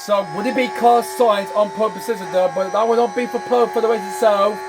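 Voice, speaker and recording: A man's voice: high-pitched, steady tone, fast talker, noisy background. A man hosting a gaming channel, commenting on a racing management game while losing his voice, with a repetitive habit of saying "then" and "I'm afraid".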